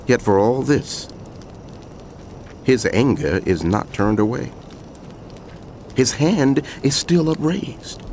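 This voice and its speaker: A man's voice: medium pitch, lively intonation, slow pace, pauses often, darkish tone, a little hiss or hum. A man playing a solemn prophet who proclaims woe in an emphatic, declamatory way in dramatized scripture narration. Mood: grave warning.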